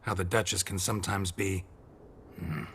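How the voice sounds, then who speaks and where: An actor playing a gruff monster slayer for hire in a video game, with a clipped, sardonic delivery. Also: male voice, low voice, steady tone, moderate pace, fairly bright tone, a little hiss or hum. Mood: wry, deadpan. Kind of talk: video game cutscene acting. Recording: music behind the voice.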